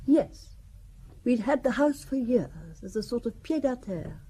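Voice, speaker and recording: A woman's voice: fairly high pitch, expressive, a little slow, dark tone, slightly rough, full character voice. An older woman playing a genteel, chatty French widow in an audio drama, confiding and wistful as she reminisces. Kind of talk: audio drama acting, conversational storytelling.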